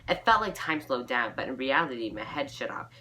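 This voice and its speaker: Low-voiced young woman reading aloud, bright tone. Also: big pitch swings, a little fast. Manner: giggly, self-mocking. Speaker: vlogger reading own fan fiction aloud. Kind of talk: informal read-aloud with casual asides.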